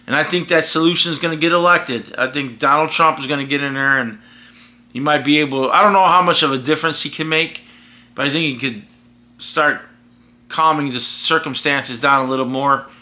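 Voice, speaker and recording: An American man sounding off in a vlog, his voice fairly high and strikingly sharp and forceful. He rambles emphatically, starting phrases over again and again. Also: brisk. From United States. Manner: emphatic, rambling with frequent restarts. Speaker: vlogger and podcaster. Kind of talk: casual opinionated monologue.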